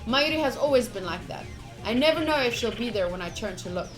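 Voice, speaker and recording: A woman streamer playfully reading visual novel text aloud, with casual asides in an expressive delivery. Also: a little high, brisk. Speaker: game streamer. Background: game music.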